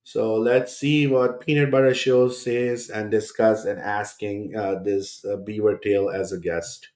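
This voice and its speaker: A man's voice: fairly low pitch, fairly even intonation, unhurried, a little mellow. A man hosting a reaction video, rebutting a podcast clip in a scornful, sarcastic tone. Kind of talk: reaction commentary.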